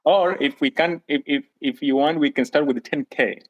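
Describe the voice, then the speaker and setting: A man's voice: a little high, moderate pace, narrow, tinny audio. A man from Kenya, a guest on a podcast, chatting casually in brief agreeing replies. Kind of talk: casual chat.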